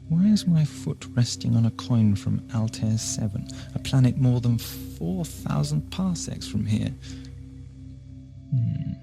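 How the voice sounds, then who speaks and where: An actor playing a whimsical, inquisitive time traveller in an audio drama, musing wryly to himself with music behind him. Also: male voice, medium pitch, vocal fry. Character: whimsical, curious time-travelling male investigator, wry and talkative. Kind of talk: audio drama acting, soliloquy.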